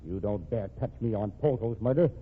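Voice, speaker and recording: An actor playing a cocky, scheming crooked lawyer and killer with slangy, sneering tough talk in an old-time radio crime drama. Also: male voice, on the low side, animated, fairly quick, husky. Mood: cocky, threatening. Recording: noisy, tinny old radio broadcast recording.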